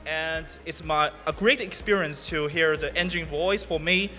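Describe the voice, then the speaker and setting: A man with a Chinese accent, speaking on stage in halting English with a bright, fairly high voice. The hall adds a long reverb, and faint music plays behind him. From China. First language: Mandarin. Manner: halting non-native English with restarts. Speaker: sim racing competitor. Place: event stage, microphone in a hall.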